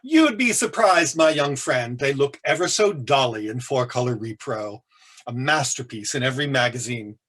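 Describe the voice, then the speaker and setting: Man reading as a flamboyant, husky older raconteur. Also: mid-range voice, expressive, fairly bright tone. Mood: arch, knowing. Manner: arch, theatrical, camp, drawn-out emphasis.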